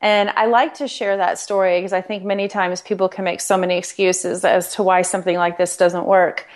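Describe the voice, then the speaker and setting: Middle-aged woman from Nebraska, podcast guest, earnest and animated. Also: lowish voice, fairly quick. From Nebraska, United States. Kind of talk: conversational interview.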